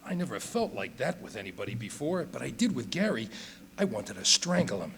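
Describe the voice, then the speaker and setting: An actor in a radio drama re-enactment playing a distraught father, halting and agitated as he confides in a friend. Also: medium pitch, big pitch swings, vocal fry, a little hiss or hum. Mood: anguished.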